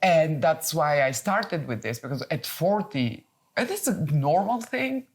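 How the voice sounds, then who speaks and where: A woman's voice: deep voice, animated, unhurried. Reflective woman in her fifties. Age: 50s. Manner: reflective, searching for words. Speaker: podcast co-host. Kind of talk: casual conversation.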